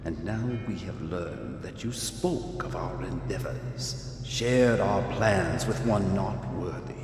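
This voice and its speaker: Cold, righteous male conspirator, deep and ominous, solemn film menace in an echoing hall. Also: slow, background noise. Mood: quietly menacing. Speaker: actor. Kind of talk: dramatic film acting. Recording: echoey hall reverb, faint music.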